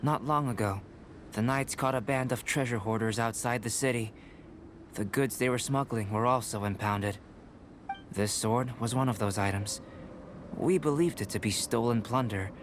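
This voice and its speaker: An actor voicing a calm, scholarly young male alchemist in a video game, speaking in a measured, formal, analytical way. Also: mid-range voice, noisy background, lightly acted. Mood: explaining calmly. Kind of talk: video game dialogue acting.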